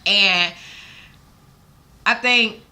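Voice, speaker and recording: Young American woman with a bright voice. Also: mid-range voice, drawn-out words. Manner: informal, emphatic, slangy and profane. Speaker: interview guest. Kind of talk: casual candid interview talk.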